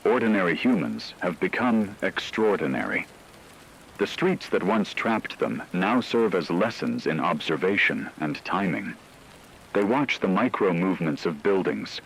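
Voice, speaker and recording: A man narrates a dramatic radio story in a very low voice. His delivery is slow and solemn, weighted with deliberate pauses. Faint rain-like ambience sits behind him. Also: a little hiss or hum, rough recording. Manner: grave, measured, portentous delivery with deliberate pauses.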